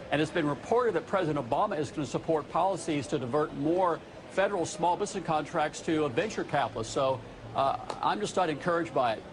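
A middle-aged man argues his case bluntly on live television, stacking one figure on another. His voice rides somewhat high for a man. Background noise hums beneath the remote feed. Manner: blunt, emphatic, reels off figures. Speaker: small business advocate guest. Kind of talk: live TV interview. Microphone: remote studio camera.

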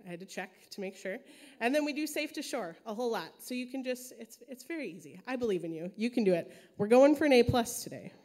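A woman's voice: on the high side, animated, fast, mellow. A woman in a church sanctuary, upbeat and encouraging as she introduces a kids' song to the congregation. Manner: upbeat and encouraging, coaxing the crowd.

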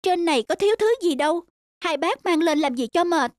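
An actress playing an irritable, put-upon young city wife in a cartoon, with a pointed, complaining way of talking. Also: female voice, very high-pitched, animated, fairly quick, no echo, clean studio sound. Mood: grudging politeness. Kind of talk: cartoon dubbing.

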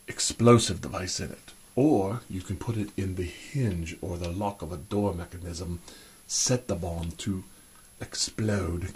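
Man playing unhurried, low-voiced spy gadget-man, put-on British accent. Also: darkish tone, slightly rough, full character voice. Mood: matter-of-fact demonstrating. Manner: genteel, reminiscing, old-fashioned turns of phrase. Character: chummy old-guard American spy gadget-man, disguised as British. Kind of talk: improvised one-sided spy roleplay, addressed to the listener.

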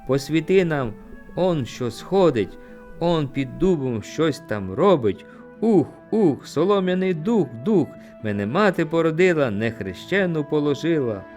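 Eerie, gleeful child spirits of the water chant wildly in a storybook poem, as a male narrator puts them on. The voice is pushed high and excited. Music plays clearly underneath. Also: a little slow.

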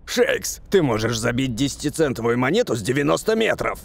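A man voicing a stern, burly middle-aged football coach in a cartoon, commanding and brisk. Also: medium pitch, sing-song, vocal fry. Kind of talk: cartoon voice acting.

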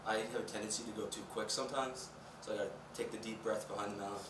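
A young man, a college baseball pitcher, answering questions in a post-game interview. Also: fairly even intonation, fast. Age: young adult. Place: indoor press conference room at a table. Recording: echoey, distant mic, clear room noise.

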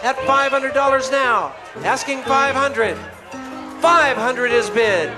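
A man's voice: very high-pitched, lively intonation, slow pace, over music. A middle-aged man working as an auctioneer at a live benefit auction, chanting repeated bids into a handheld microphone in a banquet hall. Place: large banquet hall, on stage. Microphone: handheld microphone through a PA.

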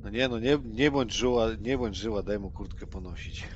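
A man's voice: lowish voice, normal speed, dull audio, a little hiss or hum, lightly acted. A man playing a brash, sardonic nerd in his thirties, blunt and colloquial, in a roleplaying game. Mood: blunt, impatient.

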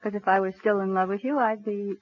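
A woman's voice: mid-range voice, fairly even intonation, fast, breathy edge, natural voice. An actress in 1940s radio comedy playing a cool, sardonic wife who spars with her husband in dry, teasing sarcasm. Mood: wry. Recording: muffled 1940s radio broadcast recording.

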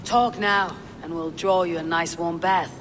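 An actress as a stern female Viking leader in a video game. Her voice is on the low side, measured and firm with cool menace. Noticeable ambient noise sits behind it. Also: steady tone, lightly acted. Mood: coolly menacing. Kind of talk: video game dramatic acting.

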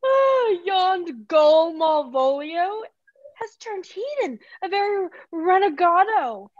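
A woman's voice: very high-pitched, sing-song, slow pace, exaggerated voice. A young woman playing a mischievous, giggly maidservant with exaggerated delivery in a Shakespeare comedy read over video call. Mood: gleeful, scheming. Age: young adult. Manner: giggly, breaking into laughter, exaggerated. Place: living room sofa, video call. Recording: dull echoey video call audio.

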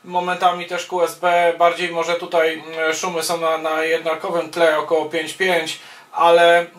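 A man working as an amateur radio operator, speaking casually and haltingly over a radio contact from a small home room. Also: fairly high pitch, monotone, fairly bright tone. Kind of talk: casual ham radio contact, spoken in turns. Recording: roomy, echoing home-video sound.

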